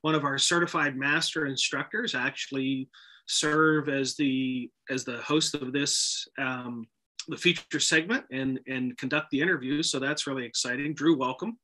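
Bright-voiced male podcast host. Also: mid-range voice, steady tone, moderate pace, low-quality recording. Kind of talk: conversational podcast introduction. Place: indoor office, video call.

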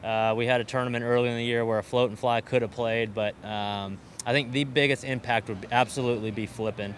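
Fishing pro explaining stuff, plain voice. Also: male voice, medium pitch, fairly even intonation, brisk, a little hiss or hum. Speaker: professional angler. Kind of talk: interview answers.

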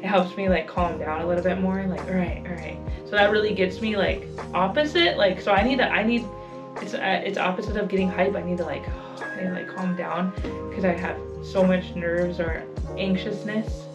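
A woman's voice: fairly low pitch, moderate pace. A woman in her thirties, a comedian, answering casually and chattily in an interview. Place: small backstage dressing room. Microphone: camera across the room. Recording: distant camera mic, music behind.

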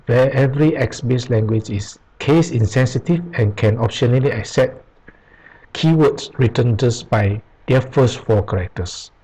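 Slow, halting male tutor. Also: mid-range voice, dark tone, breathy edge, poor audio. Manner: slow, halting, reads aloud with pauses and restarts. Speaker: programming tutor. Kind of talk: screen-recorded tutorial reading from web pages. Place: at a computer, voice-over on screen capture.

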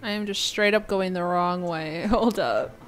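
A woman's voice: on the low side, a little slow, faint music behind. A woman giving casual commentary as she plays through a video game. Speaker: gamer reacting while playing.

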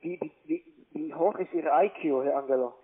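Male cold caller, non-native with foreign accent, high voice, halting and pushy, phone-quality sound. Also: breathy edge. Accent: foreign accent in German. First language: not German. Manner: halting, repetitive, badgering, broken grammar. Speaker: cold caller from a call centre. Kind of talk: argumentative phone conversation. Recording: narrow, tinny phone line.

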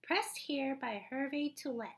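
A young adult woman reading a picture book aloud to small children in an animated, exaggerated, encouraging way, with pauses for them to join in. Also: sits high. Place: small indoor room.

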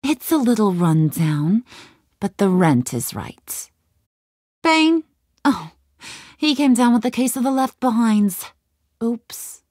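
A woman's voice: fairly high pitch, very animated, slow pace, pauses often, a little mellow, dry recording, studio quality, full character voice. An actress playing a cunning, menacing young female gang boss in a video game, taunting and theatrical, swinging from sweet to threatening. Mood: teasing.

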